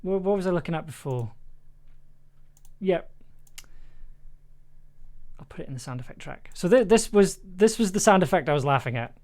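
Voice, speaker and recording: Female streamer, slightly low register with animated swings; informal, giggly, thinks out loud while editing at her desk. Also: moderate pace, pauses often. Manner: giggly, self-deprecating, thinking aloud. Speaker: audio drama producer and streamer. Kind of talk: casual livestream chat while editing.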